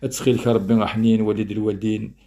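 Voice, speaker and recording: A man's voice: fairly low pitch, level tone, very fast, mellow. A man with a Kabyle accent solemnly reciting a poem of mourning in rhythmic verse. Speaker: amateur poet. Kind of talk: poetry recitation, elegy.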